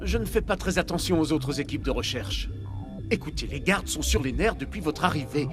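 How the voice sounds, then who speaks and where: Casting: male actor as plain-spoken game medic, fairly high voice, earnest, music behind. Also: expressive, brisk, natural voice. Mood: worried, helpful. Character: earnest, grateful male doctor in a research lab. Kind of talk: dubbed video game dialogue.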